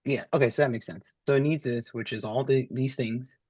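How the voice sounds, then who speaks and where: A male student with a mid-pitched voice talks himself through technical material. His delivery is halting and tentative as he confirms what he understands. The recording is somewhat dull and very dry. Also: steady tone, darkish tone, breathy edge, no room sound. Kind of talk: thinking aloud while reading through code.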